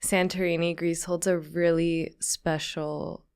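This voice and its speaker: A woman's voice: lowish voice, level tone, slow, a little mellow, smooth. A young woman hosting a podcast, asking curious questions in a chatty, reactive way. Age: young adult. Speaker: podcast host. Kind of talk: casual interview chat.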